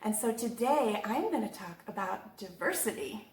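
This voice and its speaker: A woman's voice: medium pitch, big pitch swings, moderate pace. A woman hosting an interview show, chatty and upbeat, laughing easily with her guest. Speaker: host. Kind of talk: casual interview talk. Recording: roomy sound from a distance, faint ambience.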